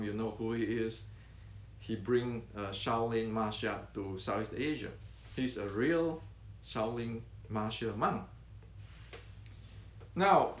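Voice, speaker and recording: A man's voice: medium pitch, roomy sound. An older man from Indonesia with an Indonesian accent, talking earnestly as a martial arts teacher, repeating points and stumbling over fillers and restarts. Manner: earnest, repetitive, halting with many fillers and restarts. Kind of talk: unscripted talk to camera.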